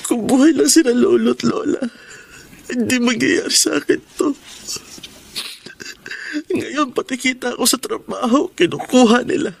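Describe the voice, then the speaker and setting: A man's voice: very high-pitched, normal speed, vocal fry, pauses often, breathy edge, full character voice. A Filipino man playing a meek, mistreated young son in a radio drama, timid, polite and hesitant. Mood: sorrowful.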